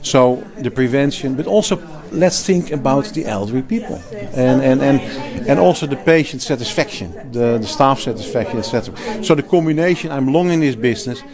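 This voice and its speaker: A man's voice: medium pitch, lively intonation, fairly quick, hardly any pauses, a crowd in the background. A man from the Netherlands with a Dutch accent, a healthcare executive and clinician, talking emphatically in an interview, restarting phrases and laughing easily. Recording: interview at a crowded event.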